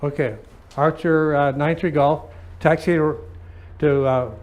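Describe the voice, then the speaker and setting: A man talking conversationally in an aviation lesson, feeling out his phrases haltingly as he speaks. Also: fairly high pitch, fairly even intonation.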